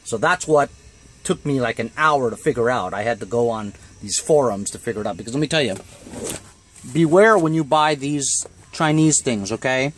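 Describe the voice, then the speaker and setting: A man's voice: medium pitch, lively intonation, moderate pace, slight background noise. A man talking casually through a hands-on how-to in a blunt, colloquial, grumbling way. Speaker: DIY how-to video maker. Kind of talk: casual hands-on tutorial chat. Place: in and around a cargo van.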